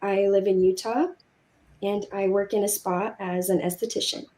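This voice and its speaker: A woman's voice: mid-range voice, steady tone, normal speed, dark tone, a little hiss or hum. A woman in her thirties introducing herself as a panel guest over a video call. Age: 30s.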